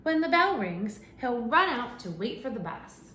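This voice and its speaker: A woman's voice: a little high, moderate pace. A woman teacher reading a picture book aloud to young children, asking them questions along the way. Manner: animated storytelling with questions to children. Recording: echoey room with faint hum.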